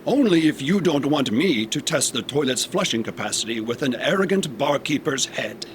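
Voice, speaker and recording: Over clear background noise, a haughty robot played by a man talks down to humans in a sci-fi comedy drama. The voice is mid-pitched and very animated, with pompous, formal phrasing. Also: moderate pace, lightly acted. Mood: threatening. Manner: pompous, formal, insulting diction. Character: haughty robot, scornful of humans, secretly soft.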